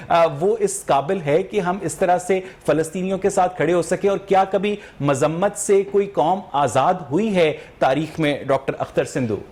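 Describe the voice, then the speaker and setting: Male TV host, Pakistani accent, slightly high register, formal and probing political interviewer. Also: darkish tone. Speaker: talk show host.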